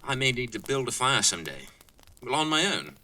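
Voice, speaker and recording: Bright-voiced, earnest young college man, acted. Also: medium pitch, very animated, fairly quick. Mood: chatty, curious. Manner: chatty, hesitant, musing. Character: earnest, talkative young male college student camper. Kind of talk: audio drama dialogue.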